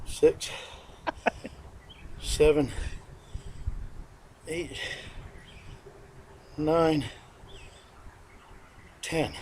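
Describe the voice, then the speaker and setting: A man giving slow, repetitive workout instructions and counting out reps, sniffling a little. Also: fairly high pitch, steady tone, a little mellow, a little hiss or hum. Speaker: amateur workout video presenter. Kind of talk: homemade workout demonstration.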